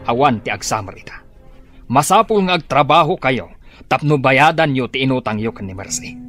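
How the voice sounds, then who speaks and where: A man's voice: mid-range voice, animated, normal speed, a bit bright, natural voice. A man playing a stern farmer father with an Ilocano accent. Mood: angry. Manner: scolding, gruff. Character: stern middle-aged farmer father, strict about money. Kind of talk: radio drama acting. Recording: faint music behind.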